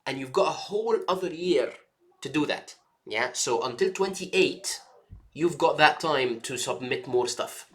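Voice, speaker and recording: A man of ordinary pitch gives relaxed, reassuring career advice. He keeps checking understanding with quick "yeah?" and "okay?" as he talks. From Egypt. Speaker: mentor advising trainee doctors. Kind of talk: casual advice chat.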